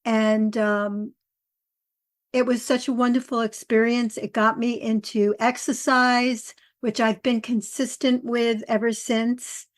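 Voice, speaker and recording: A woman hosting a podcast in a conversational, chatty way, quick to laugh. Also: on the high side, little pitch movement. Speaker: podcast host.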